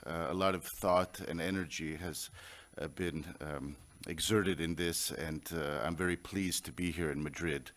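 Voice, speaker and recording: A man with an American accent giving a prepared speech at a conference, measured and pausing often on "uh" between phrases. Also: deep voice, a bit bright. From United States. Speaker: conference speaker.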